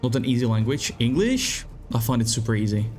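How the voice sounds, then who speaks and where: A young man with a Czech accent talks loosely at his desk mic while he plays, reading out viewers' messages and cursing now and then. His voice sits at a middle pitch, and faint game music plays behind him. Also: big pitch swings, a little fast, darkish tone. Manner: laid-back, swears, reads chat aloud. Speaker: game streamer. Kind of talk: casual live-stream chat.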